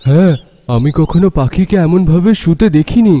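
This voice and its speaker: A man's voice: a little high, animated, normal speed, dark tone, lightly acted. A man playing an earnest, determined young adventurer in cartoon dialogue, with a matter-of-fact manner.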